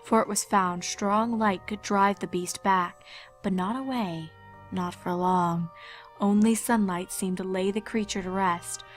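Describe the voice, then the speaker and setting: A woman narrating a scripted dark fairy tale in a measured, ominous storytelling manner. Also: medium pitch, fairly even intonation, faint music behind.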